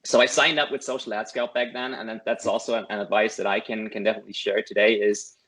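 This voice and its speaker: German guy with an accent, kinda low voice, keeps saying "right?", earnest guest. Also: level tone, fast talker, a bit bright, husky, rough recording. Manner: earnest, repeats words and adds "right?" often. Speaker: guest, course student. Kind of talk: casual interview over a call.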